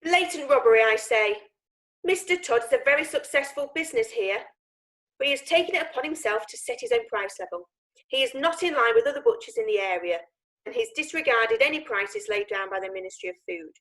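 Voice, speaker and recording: A woman's voice: fairly high pitch, a bit bright, poor audio. A woman in a radio drama playing a scornful, indignant prosecutor who speaks in accusing courtroom rhetoric.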